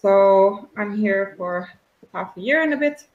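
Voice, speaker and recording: A woman's voice: medium pitch, expressive, measured pace, phone-quality sound. Chatty german lady from the game studio, laughs a lot, um and uh everywhere, sounds like an echoey room. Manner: chatty and giggly, many fillers. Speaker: game developer community liaison. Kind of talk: casual interview answers.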